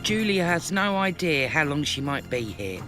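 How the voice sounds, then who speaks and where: A man narrates a documentary in a measured, scripted read. His voice sits a little high and moves with lively pitch. Clear music plays beneath him. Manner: measured, informative.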